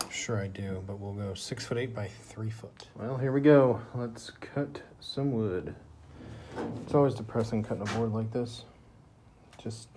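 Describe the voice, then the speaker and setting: Easygoing man in a noisy workshop. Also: fairly low pitch. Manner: easygoing, rambling, lots of fillers. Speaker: woodworker filming his project. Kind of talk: casual workshop vlog. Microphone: handheld phone. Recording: noisy phone recording in a workshop.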